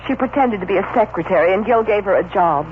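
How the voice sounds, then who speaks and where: A woman's voice: medium pitch, animated, quick pace, phone-quality sound, close to an everyday voice. A woman playing an earnest, worried heroine in an old-time radio soap opera. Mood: anxious, determined. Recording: thin, crackly old radio recording.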